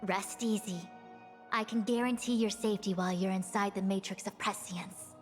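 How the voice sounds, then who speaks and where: A woman voicing a composed, wise young female fortune-telling master in a video game, speaking calmly and formally. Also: mid-range voice, close to an everyday voice. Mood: serene, assured. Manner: calm, formal, measured. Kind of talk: acted video game dialogue. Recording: reverberant, with music behind.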